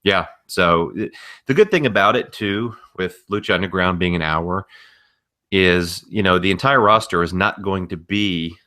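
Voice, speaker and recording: A man's voice: sits low, moderate pace, bright, forceful tone. A man co-hosting a podcast, explaining things in a casual, knowledgeable way. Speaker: podcast co-host.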